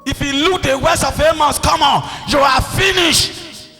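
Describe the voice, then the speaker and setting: A man's voice: extremely high pitch, unhurried, vocal fry, bright, forceful tone. A man with a Nigerian accent preaching a fiery, scolding sermon as a pastor, commanding and repeating his phrases. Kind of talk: fiery Pidgin-inflected sermon. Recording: echoey hall PA, music behind.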